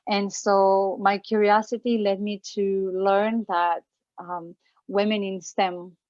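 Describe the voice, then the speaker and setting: A woman's voice: mid-range voice, level tone, slow pace, darkish tone, dry recording, lo-fi sound. A woman from Romania in her forties giving an earnest personal talk as a guest speaker at an online event, with many fillers and restarts.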